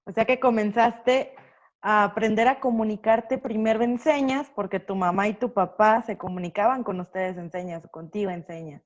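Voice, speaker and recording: A woman's voice: medium pitch, moderate pace, lo-fi sound. A woman hosts a live-stream interview, introducing her guest in a friendly, upbeat way. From Mexico. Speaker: host.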